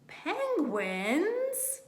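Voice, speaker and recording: Storybook penguin played by a woman: male, cheerful, oblivious, loud and high, roomy echo. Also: huge pitch swings, very slow, exaggerated voice. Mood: blithe curiosity. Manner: blaring, loud, silly. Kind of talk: children's storybook read-aloud. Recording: roomy, echoing sound.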